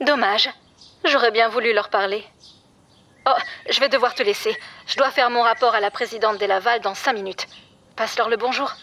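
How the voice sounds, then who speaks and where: Woman acting female spaceship engineer, high pitch, earnest, polite, matter-of-fact, careful, audio drama, noisy backdrop. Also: steady tone, normal speed, fairly bright tone. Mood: friendly. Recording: clear background noise.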